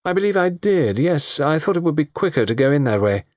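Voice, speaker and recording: A man's voice: on the high side, big pitch swings, fairly quick, a little mellow, no room sound, clean studio sound, voice pushed up. A man voicing a cool, haughty young woman who answers curtly. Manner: cool, curt, clipped replies. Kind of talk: audiobook character voice.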